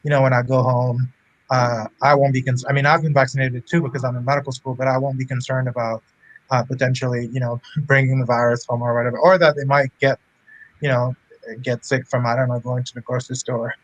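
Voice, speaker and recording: A young man from Cameroon chats as a guest over a video call, filling pauses with 'you know' and 'like'. His voice sits close and dry. His pitch stays at a middle level and fairly even. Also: dry recording, poor audio. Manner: chatty, many fillers, light laughs. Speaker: medical student guest. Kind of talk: casual interview talk.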